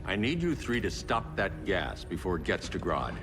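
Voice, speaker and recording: Grim vigilante leader: deep, stern, terse, with a reverberant sound. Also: male voice, huge pitch swings, normal speed, over music, exaggerated voice.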